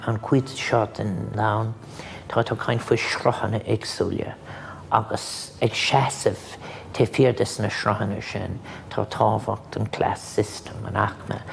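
Older guy, super gravelly voice, lecturing slow and careful, music under. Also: on the low side. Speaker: commentator interviewee. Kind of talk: interview commentary.

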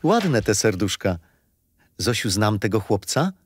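A kindly old grandfather in a children's radio play, explaining things patiently with gentle storytelling. His intonation moves around in a lively way and the sound is close and dry. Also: on the low side, a little slow, husky, dry recording, exaggerated voice. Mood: affectionate, curious.

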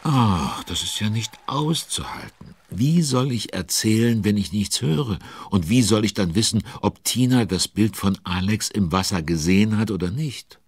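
A man's voice: fairly low pitch, animated, moderate pace, hardly any pauses, slightly rough, no echo. A man playing a chatty, nosy storyteller, narrating a children's audio drama and speaking directly to the listeners. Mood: exasperated, curious. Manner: conversational, addresses the listeners.

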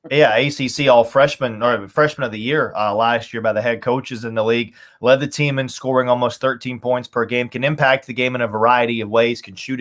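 Enthusiastic man talking sports, medium pitch. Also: fairly bright tone. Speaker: radio play-by-play announcer as podcast guest. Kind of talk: sports podcast talk. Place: small furnished room at home, on a video call.

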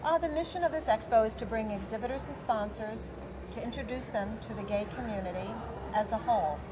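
A woman's voice: fairly high pitch, fairly quick. Chatty New woman, expo organizer. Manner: talkative, many fillers, gestures while talking. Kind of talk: interview answers. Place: large convention center hall, indoors. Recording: busy convention hall crowd noise. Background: crowd chatter.

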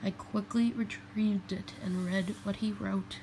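Slow, deliberate woman reading a picture book. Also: mid-range voice, steady tone. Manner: deliberate, phrase by phrase. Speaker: storybook reader. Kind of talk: children's picture book read-aloud. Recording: faint background noise, home recording.

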